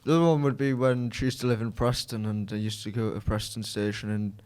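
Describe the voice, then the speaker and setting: Hesitant earnest co-host. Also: male voice, lowish voice, level tone, fast, darkish tone, smooth. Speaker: podcast co-host. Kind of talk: casual banter.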